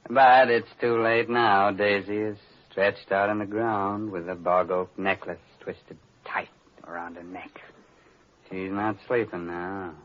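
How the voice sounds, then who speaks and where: Deep, slow, ghoulish radio host. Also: male voice, steady tone. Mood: macabre glee. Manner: sardonic, leisurely, relishing morbid jokes. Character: gleefully macabre, mocking horror-show host. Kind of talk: old-time radio horror hosting. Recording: muffled old radio recording with music.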